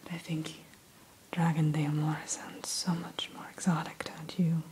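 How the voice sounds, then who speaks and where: Soft-whispering woman, ASMR roleplay. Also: sits low, flat delivery, slight background noise. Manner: soft, slow unvoiced whisper; gentle, storytelling tone addressing the listener. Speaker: ASMR roleplay host as dragon hatchery keeper.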